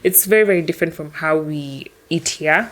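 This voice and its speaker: A young woman in her twenties with a Kenyan accent and a notably low voice. She chats as a podcast guest, relaxed and reflective, circling back and restarting her sentences as she thinks. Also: brisk. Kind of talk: casual conversation.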